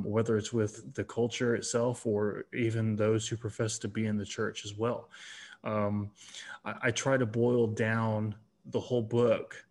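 Earnest young man with halting restarts. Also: on the low side. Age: 20s. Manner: earnest, with frequent restarts and fillers. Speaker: author and guest.

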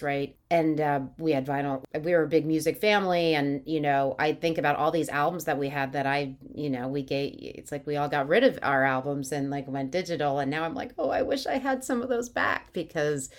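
A woman in her fifties with a low voice hosts the conversation. Her questions wander casually, and she often chimes in with small agreements while her guest talks. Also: a little fast, hardly any pauses. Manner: warm back-channelling, chatty rambling questions. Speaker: interviewer.

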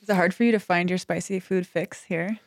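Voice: mid-range voice, steady tone, brisk, no room sound. A podcast host asking friendly, curious follow-up questions in a casual interview.

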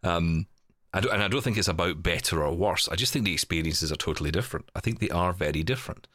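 A man with a very low-pitched voice talks in a dry, close recording. He is a podcast co-host in reflective, casual chat, carefully qualifying his points and rephrasing as he goes. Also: quick pace, fairly bright tone, no echo. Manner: hedging, careful, self-correcting.

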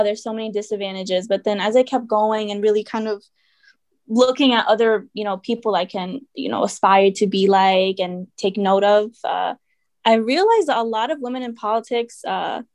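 A woman's voice: medium pitch, no room sound. A young woman from Connecticut, talking as a podcast guest in a chatty way, full of fillers and hedges. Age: young adult. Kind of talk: casual podcast interview.

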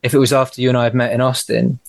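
A man's voice: medium pitch, flat delivery, racing, creaky, no room sound. Thoughtful man on a dry call. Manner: thoughtful, hesitant, with restarts and laughs. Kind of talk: casual podcast conversation. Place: home, remote call.

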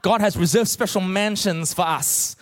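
A man's voice: sits high, normal speed. A middle-aged man with an Australian accent preaching a sermon with comic storytelling, emphatic and full of rhetorical questions to his congregation. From Australia, born in Malaysia. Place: large church auditorium, speaking to a congregation. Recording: large reverberant hall.

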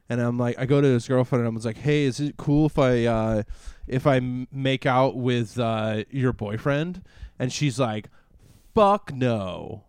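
A man's voice: mid-range voice, a little mellow, clear, resonant tone, dry recording, clean studio sound. A man talking casually as a podcast co-host, loose and sweary, often saying "like". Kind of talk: casual chat.

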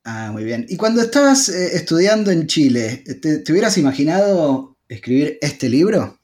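A man hosting a podcast interview in a relaxed way, with occasional hesitations. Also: fairly high pitch, moderate pace. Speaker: podcast host and sociologist. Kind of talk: conversational interview.

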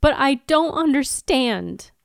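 A woman's voice: high-pitched, animated, slow, gravelly, no room sound, studio quality, voice pushed up, exaggerated voice. A woman voicing a blunt, bewildered young woman who was once a demon and is newly human, asking plain, insistent questions. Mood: puzzled.

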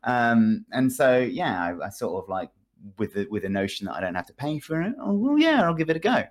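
A man's voice: medium pitch, very animated, brisk. A man chatting casually on a video game podcast in a wry, sardonic, jokey way. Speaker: podcast guest discussing video games.

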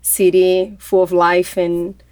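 A woman from Brazil with a Brazilian Portuguese accent, chatting in a relaxed way as a podcast guest. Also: fairly low pitch, slow, no room sound. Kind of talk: interview conversation.

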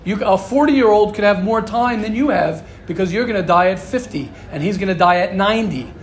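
Man, high voice, earnest informal Torah talk, repeats himself, faint hiss behind. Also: brisk. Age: adult, married with kids. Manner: earnest, repeats phrases, rambling asides. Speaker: Torah teacher.

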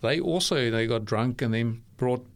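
A man's voice: mid-range voice, a little slow. A man interviewing guests for a church program, affirming their answers and asking long, winding questions.

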